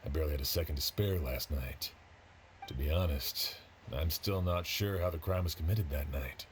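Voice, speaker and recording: A man playing an earnest male official in an anime-style video game, speaking in a measured way. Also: extremely low pitch, a little hiss or hum. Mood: earnest, puzzled. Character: earnest male official investigating a crime with helpers.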